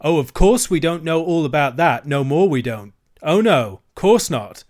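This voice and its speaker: A lean, bullying horse dealer who mocks in a rough working-class dialect, put on by a male reader. His voice is loud and pushed high. It swoops about with scorn. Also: big pitch swings, moderate pace.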